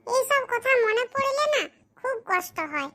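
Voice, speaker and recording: A performer voicing a crude, self-pitying village boy who grumbles in rustic slang in a comedy cartoon, with a pitched-up voice. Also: lively intonation, normal speed, sharp tone, full character voice. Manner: muttering complaints in rustic slang.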